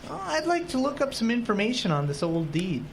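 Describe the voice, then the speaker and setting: A man's voice: very high-pitched, very animated, brisk, vocal fry, full character voice. A man playing an earnest, easygoing young husband from Minnesota in a radio drama, talking in a conversational but determined way. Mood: thoughtful.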